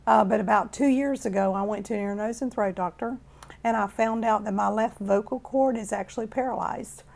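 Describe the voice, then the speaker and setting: A woman in her fifties from Florida with a hoarse, raspy voice, telling her own life story in a plain, unhurried way. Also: mid-range voice, darkish tone. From Florida, USA. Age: 50s. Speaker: testimony speaker.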